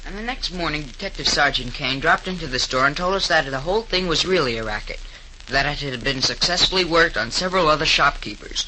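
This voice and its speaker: An earnest young store helper in a 1930s radio crime drama. His voice is fairly high and piercingly bright, with eager delivery and a slight hiss on the old recording. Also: lively intonation, brisk, rough recording. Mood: earnest narrating. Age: young adult.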